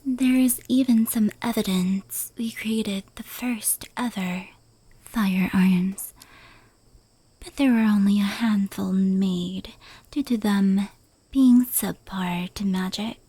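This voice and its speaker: A woman plays a powerful, proud female fox yokai in a whispered ASMR roleplay. Her voice is soft and breathy, with a dark, mellow tone. She speaks slowly and intimately, like a fond confidante. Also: medium pitch, fairly even intonation, dry recording, poor audio. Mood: proud, explanatory. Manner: unhurried, hushed, intimate.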